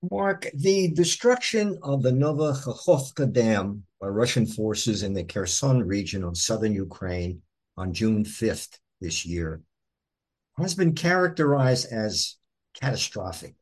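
Husky-voiced podcast host, lively delivery. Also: male voice, fairly low pitch, measured pace. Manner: formal, scripted introductions. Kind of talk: podcast interview.

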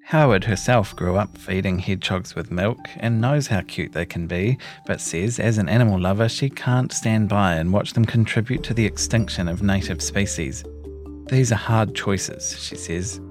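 A man with a New Zealand accent reads a scripted long-form news story in a measured, articulate storytelling delivery. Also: on the low side, faint music behind. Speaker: reporter reading his own long-form article. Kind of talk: scripted narration of a feature story.

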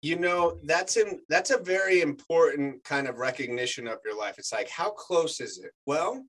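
Animated man, native Spanish speaker. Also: a little high, normal speed, low-quality recording. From United States. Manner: animated storyteller, many fillers. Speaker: actor guest. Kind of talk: casual chat.

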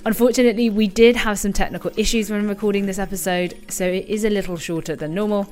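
A woman's voice: medium pitch, fairly even intonation, fast talker, darkish tone, soft background music. A woman hosting a podcast, reading an upbeat scripted introduction. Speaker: podcast host.

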